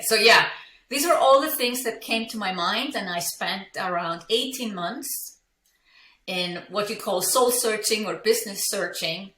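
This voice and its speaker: A woman's voice: mid-range voice, sharp tone, roomy sound. A woman from Iceland, a business coach and entrepreneur, telling her story candidly in an interview, with frequent fillers and restarts.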